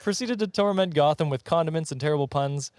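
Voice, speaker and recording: A man's voice: on the high side, fast, darkish tone. A man from Canada hosting a podcast, telling stories in a casual, jokey way with banter. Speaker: podcast host. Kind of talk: casual comedic storytelling with banter.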